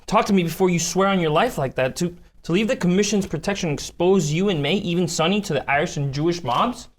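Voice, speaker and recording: A man's voice: sits high, fast talker, close to an everyday voice. An actor at a table read playing a worried mobster who presses his boss with questions. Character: worried, pressing male mobster, the boss's confidant. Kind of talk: live script table reading.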